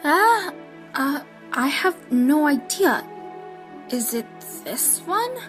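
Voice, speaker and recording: A woman's voice: high-pitched, sing-song, faint music behind, exaggerated voice. A woman playing an anxious, fragile schoolgirl in an audio drama, speaking hesitantly and narrating her own story. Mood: puzzled, surprised. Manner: hesitant, halting. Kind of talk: anime-style audio drama acting.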